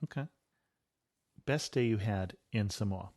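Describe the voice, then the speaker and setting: A man's voice: on the low side, measured pace, a little mellow. A man hosting a travel podcast, asking brief, curious questions and giving short acknowledgements. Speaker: podcast host. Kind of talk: interview questions.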